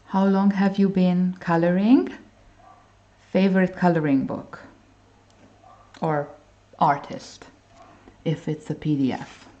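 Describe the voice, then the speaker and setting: A woman's voice: fairly low pitch, dark tone. A woman from Hungary hosting a coloring livestream, chatting casually with viewers and reading their comments aloud. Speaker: livestream host. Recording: dull lo-fi stream audio.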